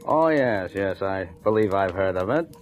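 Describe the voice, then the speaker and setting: An actor playing a polite, slightly condescending lawyer in a 1940s radio drama, formal and measured. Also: male voice, deep voice, lively intonation. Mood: polite, patronising. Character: polite, brisk, patronising male lawyer in his office. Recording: dull old radio recording with music.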